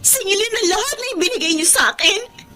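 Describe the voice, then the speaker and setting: A woman's voice: very high voice, animated, quick pace, music in the background. An actress playing a worn-out young woman who supports her whole family, scolding and tearful by turns. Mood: hurt. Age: young adult. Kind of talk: TV-style family drama acting.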